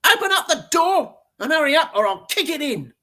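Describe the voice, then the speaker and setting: A woman's voice: high voice, very animated, brisk, bright, forceful tone, pitched above the performer's own voice, heavily acted. A woman doing a nasty, snarling bratty girl. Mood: threatening.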